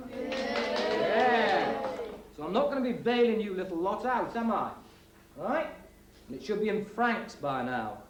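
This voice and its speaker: An actor playing a bossy schoolteacher who briefs a boys' team, listing rules point by point. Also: male voice, high-pitched, roomy sound, slight background noise, heavily acted. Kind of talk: 1980s TV drama acting.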